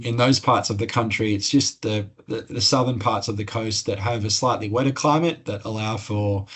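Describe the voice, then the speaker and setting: An Australian man giving an explanatory webinar presentation as an expert, in an informal, plain-spoken and slightly hesitant way. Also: lowish voice. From northern New South Wales, Australia.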